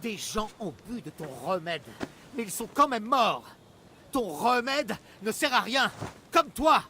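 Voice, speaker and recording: A man's voice: high-pitched, big pitch swings, a little slow, sharp tone, slight background noise, heavily acted. A man playing an indignant, accusing village magistrate in a video game drama. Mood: accusing anger. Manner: agitated, accusatory.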